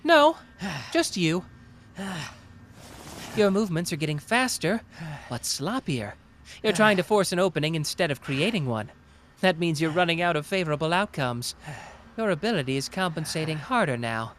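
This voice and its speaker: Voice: medium pitch, sing-song, normal speed, long pauses, a bit bright, slight background noise. A performer playing a cocky, playful young male genius detective in an anime roleplay, taunting and analytical in a theatrical way. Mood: confident taunting. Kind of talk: anime roleplay audio drama, deduction monologue.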